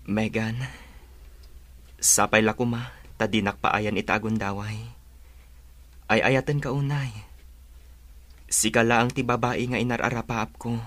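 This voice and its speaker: An actor playing an earnest, devoted young suitor in a radio drama. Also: male voice, fairly low pitch, flat delivery, a little slow, pauses often, fairly bright tone. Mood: earnest, tender.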